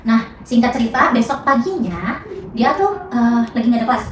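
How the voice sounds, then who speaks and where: A woman's voice: fairly high pitch, lively intonation, fairly quick, roomy sound, recorded from a distance. A young woman, a student casually telling a spooky story on a podcast, with lots of fillers and restarts. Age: young adult. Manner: chatty, many fillers and restarts.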